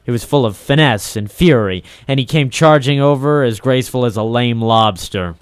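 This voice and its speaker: A sardonic, hard-boiled man of the docks, played by a male radio actor, with a medium-pitched voice and a deadpan delivery. He tosses out wisecracks in classic late 1940s noir style. The sound is that of an old radio drama. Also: normal speed, clear, resonant tone, no room sound, clean studio sound, lightly acted. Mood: wry, deadpan. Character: cynical wisecracking male private troubleshooter of the docks.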